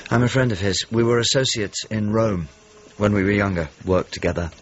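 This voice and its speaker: A man's voice: lowish voice, fairly quick, lightly acted. An actor playing an earnest, anxious painter who narrates his search for a friend in a radio drama, in clipped fragments. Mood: earnest, concerned. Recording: dull lo-fi radio drama, clearly noisy background.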